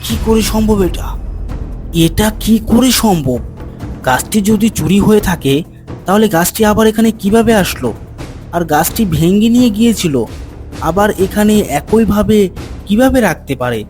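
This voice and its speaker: Man voicing a bewildered village father, higher-pitched. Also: lively intonation, moderate pace, darkish tone, faint music behind. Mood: amazed. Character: bewildered, kindly village father in a moral tale. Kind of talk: cartoon dialogue.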